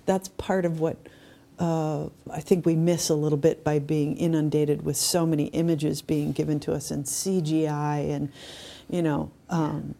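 A woman's voice: sits low, little pitch movement, measured pace, vocal fry, mellow. A woman co-hosting a podcast, talking in a casual, discursive style. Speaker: podcast co-host.

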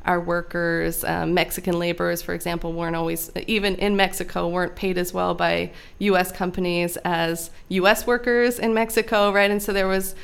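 A woman being interviewed, explaining history in a measured, lecturing way, often checking in with "right?". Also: fairly low pitch, level tone, vocal fry, clear, resonant tone. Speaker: guest expert on immigration history.

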